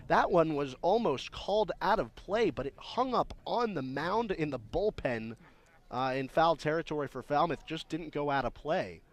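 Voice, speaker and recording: A man's voice: on the high side, lively intonation, normal speed. A man giving conversational live radio analysis as a baseball color commentator.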